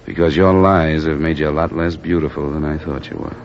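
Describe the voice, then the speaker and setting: An actor playing a hard-boiled insurance investigator in an old radio drama, terse and stern, also narrating. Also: male voice, extremely low pitch, steady tone, a little fast. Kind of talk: 1940s radio acting and narration. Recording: hissy lo-fi old radio recording.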